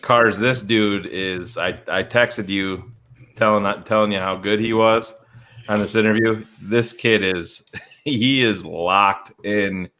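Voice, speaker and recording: Man, low pitch, bright tone, enthusiastic sports show co-host. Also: steady tone, slow, a little hiss or hum. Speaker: podcast co-host. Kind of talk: casual sports chat.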